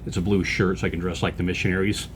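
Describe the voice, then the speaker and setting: A man's voice: low voice, flat delivery, fast, slight background noise. A man hosting his own podcast, delivering a loose political commentary monologue full of fillers and restarts. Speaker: podcast host.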